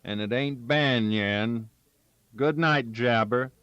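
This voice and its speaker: A man's voice: medium pitch, slow, fairly bright tone, smooth, no echo, lo-fi sound. A man voicing a wry old backwoods rascal with folksy dialect and a mock-doleful manner, in an audiobook-style story.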